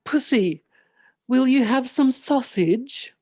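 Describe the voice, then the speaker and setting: Woman playing a high, very slow little troll. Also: animated, darkish tone, slightly rough, dry recording, clean studio sound, exaggerated voice. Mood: teasing. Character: mischievous, timid little troll with a sausage.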